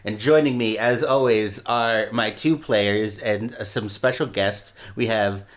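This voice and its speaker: A man hosting a tabletop game podcast as game master, joking and quick to laugh. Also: fairly low pitch. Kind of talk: casual podcast banter.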